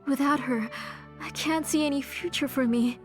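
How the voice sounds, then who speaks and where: An actress playing a grieving, bitter mother in a video game drama, speaking in a halting, anguished way. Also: high voice, soft background music, lightly acted. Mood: anguished grief.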